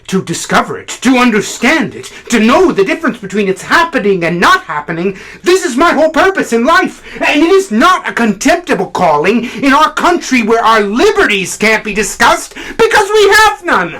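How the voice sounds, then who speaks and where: A man's voice: a little high, fairly bright tone, husky, heavily acted. A man playing a fervent, swooping literary critic. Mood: earnest, halting. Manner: impassioned, halting then declamatory. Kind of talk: theatrical stage monologue.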